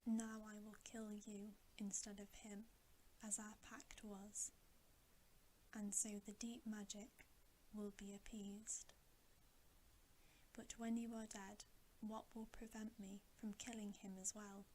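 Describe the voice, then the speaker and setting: A woman voicing a cruel, gloating storybook witch queen in a breathy, deliberate, commanding whisper. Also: mid-range voice, lightly acted, poor audio. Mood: gloating, menacing. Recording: steady audible hiss.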